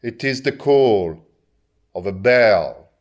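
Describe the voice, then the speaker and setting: A man reciting poetry in a solemn, deliberate manner. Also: lowish voice, animated. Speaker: poetry reader.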